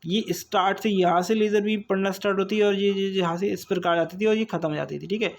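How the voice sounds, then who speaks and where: A man's voice: high voice, fairly even intonation, fast, creaky. A man with an Indian accent giving an informal exam-prep lesson, reading out quiz questions and explaining them with repeated check-in questions. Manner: repetitive, checks in with listeners using questions and frequent tags. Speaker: online exam-prep teacher.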